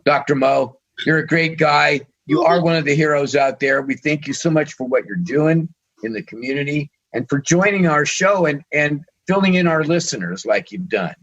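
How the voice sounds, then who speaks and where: A man's voice: fairly high pitch, normal speed, fairly bright tone. A man co-hosting a radio talk show, losing his voice and talking hesitantly with fillers. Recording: dull lo-fi sound.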